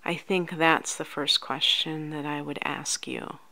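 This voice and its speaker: Soft-spoken woman for ASMR coach roleplay; lowish pitch, very slow, gentle and soothing delivery. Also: steady tone, creaky. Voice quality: soft, often near-whispered. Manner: gentle, unhurried, soothing, addressing the listener directly. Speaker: ASMR host playing a life coach.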